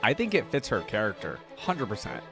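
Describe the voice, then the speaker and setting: A man's voice: on the low side, wildly swooping pitch, a little fast. A man hosting a casual reaction video, talking in a rambling, chatty way with lots of fillers and restarts. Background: faint music playing.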